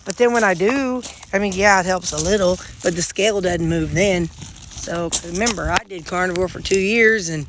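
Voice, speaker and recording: A woman's voice: lowish voice, normal speed, background noise. A middle-aged woman with a slightly nasal voice, chatting casually and rambling to her phone camera outdoors while pulling weeds. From Missouri, United States. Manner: rambling, chatty, folksy with frequent restarts. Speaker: vlogger. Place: outdoors beside a gravel bed and sidewalk. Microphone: phone held in hand.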